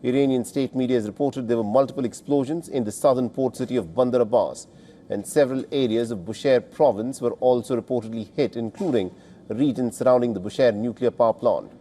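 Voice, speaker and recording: A man's voice: mid-range voice, brisk, warm tone. A man reading scripted news narration as a presenter, in a formal, emphatic delivery.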